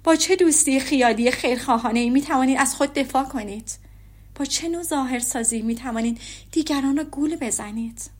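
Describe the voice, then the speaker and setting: A woman reading as a fiery young lady. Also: high voice. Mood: indignant. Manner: heated, rising and accusing. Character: spirited young woman, indignant and sharp-tongued.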